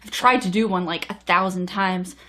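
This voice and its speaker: A woman's voice: on the low side, fairly even intonation, moderate pace, pushed and bright. A woman reviewing an old film in a sardonic, casually profane comedic style.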